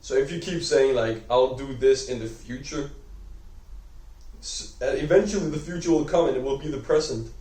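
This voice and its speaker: A young man with a Danish accent talks unscripted to a camera, rambling and filling every pause with "like" while he corrects himself. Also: medium pitch, warm tone, far from the mic. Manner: rambling, heavy use of "like", self-correcting. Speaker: vlogger. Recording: echoey room, voice off-mic.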